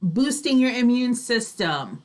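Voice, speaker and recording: A woman's voice: fairly high pitch, sing-song, a little slow. A woman co-hosting a casual radio show, chatty and often tagging sentences with "you know" and "right".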